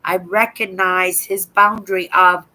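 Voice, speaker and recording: An older woman from Canada hosting a livestream, chatting casually with viewers in a hoarse voice, rambling and tagging "right?" onto her sentences. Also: fairly low pitch, steady tone, unhurried, bright, forceful tone, rough recording. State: hoarse from a sore throat. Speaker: livestream host. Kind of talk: casual live chat to viewers.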